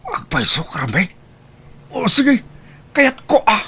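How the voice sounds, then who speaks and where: A man with an Ilocano accent voicing an excitable, flirty man in an amateur comedy drama. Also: high-pitched, slow pace, husky, full character voice. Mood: excited.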